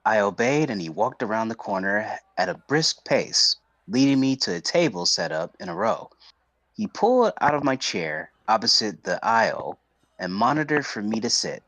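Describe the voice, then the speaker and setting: A man's voice: on the low side, very animated, normal speed, creaky, a bit bright, no echo, low-quality recording. A man streaming on a close microphone, chatting casually and narrating a visual novel aloud.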